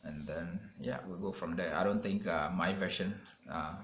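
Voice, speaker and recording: A man from Ghana co-hosting a family vlog, telling a story casually with a relaxed manner and restarts, filmed on a phone. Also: low voice, normal speed, roomy sound, lo-fi sound, very noisy. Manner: relaxed, with many mm-hmms and restarts. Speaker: vlog co-host. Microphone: phone camera.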